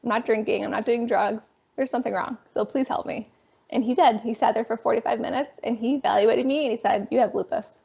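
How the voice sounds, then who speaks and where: A young woman in her twenties with a raspy voice, a guest telling her story in a casual, animated interview. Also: fairly high pitch, brisk. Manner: animated, frequent fillers. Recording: dull lo-fi sound.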